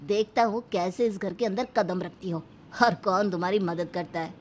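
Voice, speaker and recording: A woman's voice: medium pitch, steady tone, normal speed, darkish tone, a little hiss or hum, lightly acted. A woman voicing a threatening, reproachful husband in a Hindi cartoon family drama.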